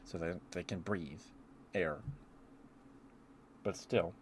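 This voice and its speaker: Low-pitched man rambling in casual ASMR. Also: slow pace, a little hiss or hum. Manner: hesitant, musing aloud with trailing thoughts. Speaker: streamer.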